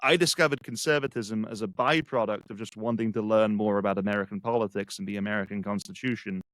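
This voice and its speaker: English man, podcast guest, reflective and halting, dry close sound. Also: on the low side, a bit bright, dry recording. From England. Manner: reflective, halting, many restarts. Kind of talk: casual conversation.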